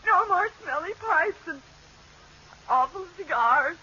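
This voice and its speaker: A theatrical, easily indignant wife from a vintage radio comedy. Her piercingly high, sharp voice draws words out slowly. The broadcast sounds muffled. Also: lively intonation, slight background noise, full character voice. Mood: forced cheer, tearful. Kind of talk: 1940s-50s radio comedy acting.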